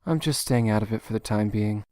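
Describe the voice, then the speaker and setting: Shy young man, played by a woman, very deep and dry-voiced, quiet and halting. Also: no echo. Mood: weary. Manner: hesitant, stammering, quiet. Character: shy soft-spoken young man, Bert. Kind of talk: fan audio drama acting.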